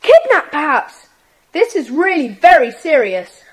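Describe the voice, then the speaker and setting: Earnest, very high-voiced schoolboy. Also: female voice, big pitch swings, measured pace, poor audio. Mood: earnest, planning. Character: Jack, earnest schoolboy in a secret club. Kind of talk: children's audiobook dramatisation.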